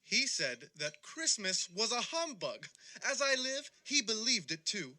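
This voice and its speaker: An actor playing a good-natured, forgiving young gentleman, a miser's nephew, in an audio play. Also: high voice, very animated, measured pace, dry recording, clean studio sound, full character voice. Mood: amused, forgiving.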